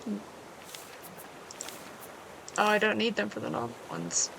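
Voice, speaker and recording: A woman with a slightly hoarse voice giving casual, chatty commentary while she plays a survival game, making wry asides to herself. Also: medium pitch, huge pitch swings, measured pace, noisy background. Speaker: gaming video creator.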